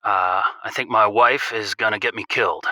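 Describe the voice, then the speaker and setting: A man's voice: on the low side, normal speed, bright, forceful tone, no echo. A man playing a worried, folksy husband who calls in to a radio advice show. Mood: matter-of-fact, worried. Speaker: caller. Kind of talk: scripted audio comedy.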